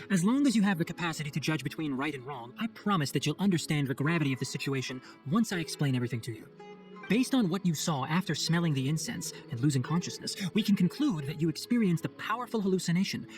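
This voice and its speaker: A man's voice: fairly high pitch, big pitch swings, fast. An actor playing a clever, exacting young male chief forest ranger in a video game, articulate and instructive with dry sarcasm. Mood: cautioning. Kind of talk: video game cutscene acting. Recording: faint music behind the voice.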